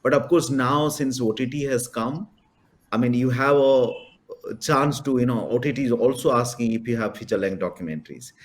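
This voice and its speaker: A man's voice: medium pitch, normal speed. A man from India, a filmmaker on a panel, explaining his views in an earnest way. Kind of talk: panel discussion.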